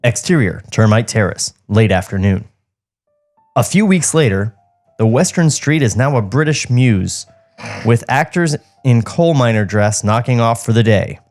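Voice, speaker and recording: A man's voice: mid-range voice, expressive, a little slow, darkish tone, faint music behind. A man narrating stage directions from a script at a podcast table read. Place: podcast studio.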